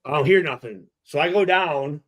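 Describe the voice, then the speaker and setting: A middle-aged man with a fairly high-pitched voice tells a story in casual, profane language. He halts and repeats phrases as he goes, acting out what was said in the exchanges. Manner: halting, repetitive, acts out exchanges. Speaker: podcast guest telling a story. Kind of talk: animated casual storytelling, heavy swearing.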